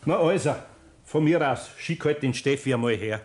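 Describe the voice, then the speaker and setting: A man's voice: medium pitch, moderate pace, a little mellow, close to an everyday voice. An older man with a Bavarian accent playing a good-natured master carpenter in a 1960s German radio play, homely and hesitant. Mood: reluctant.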